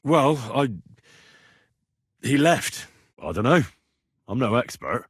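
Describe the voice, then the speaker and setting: A young servant of a medieval lord in a video game. His voice is husky and moves freely up and down as he talks in a plain, inquisitive, earnest way. The sound is dry and close, with no room around it. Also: mid-range voice, big pitch swings, takes it slowly, no room sound, full character voice. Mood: casual, unsure. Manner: colloquial, conversational, inquisitive. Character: earnest, plain-spoken young medieval man in a lord's service. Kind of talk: naturalistic game dialogue acting.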